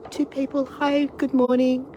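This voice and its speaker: A woman's voice: high-pitched, level tone, unhurried, mellow, rough recording. A middle-aged Australian woman with a cold and a fading voice, chatting casually as she hosts a live cooking stream and greets each viewer who joins. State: has a cold, losing her voice. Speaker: online tour and cooking class host. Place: outdoor deck, then home kitchen. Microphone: device camera. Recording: wind and ambient noise outdoors.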